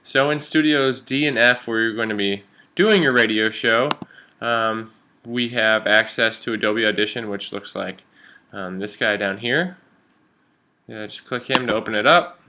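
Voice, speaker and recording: A man working as a radio instructor, explaining software step by step in an informal, instructional way. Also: on the low side, a little slow, a little hiss or hum.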